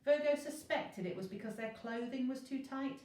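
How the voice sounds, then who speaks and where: A woman, a teacher, reading a children's novel aloud in an expressive storytelling style. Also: sits high, normal speed. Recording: roomy echo, distant microphone.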